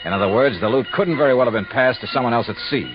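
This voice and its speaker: An actor playing a shrewd, hard-boiled insurance investigator in a 1950s radio drama, with a clipped, wry, insistent delivery. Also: male voice, mid-range voice, fast, natural voice. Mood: wry, brisk. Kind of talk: 1950s radio detective narration and acting. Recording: muffled vintage radio recording, music behind.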